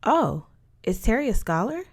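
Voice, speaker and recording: A woman's voice: on the high side, very animated, moderate pace, husky, pitched above the performer's own voice, exaggerated voice. A woman voicing a naive, modest teenage scholarship girl in an audiobook, questioning and unsure. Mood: puzzled.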